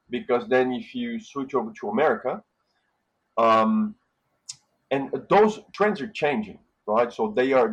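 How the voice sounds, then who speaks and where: A middle-aged man from Brazil, a hotel manager and podcast guest, telling relaxed stories with lots of fillers and tag questions. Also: mid-range voice, breathy edge, poor audio. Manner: relaxed storytelling with frequent fillers and "right?". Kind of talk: casual interview conversation.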